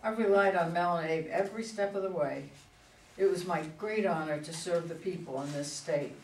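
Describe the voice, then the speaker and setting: A woman's voice: lowish voice, moderate pace, darkish tone, slight background noise, natural voice. A woman playing a proud, combative older female politician in a play reading, with an indignant, emphatic delivery. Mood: stately. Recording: echoey room, far from mic.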